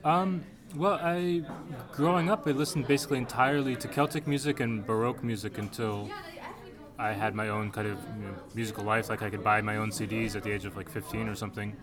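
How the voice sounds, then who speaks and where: A man, the band's bass player, answering interview questions casually with fillers. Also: fairly even intonation, brisk. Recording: busy crowd chatter behind.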